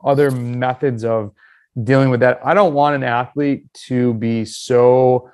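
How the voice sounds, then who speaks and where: A man in his late thirties hosting a casual podcast, chatting in an animated, storytelling way. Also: medium pitch. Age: 30s. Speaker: podcast host.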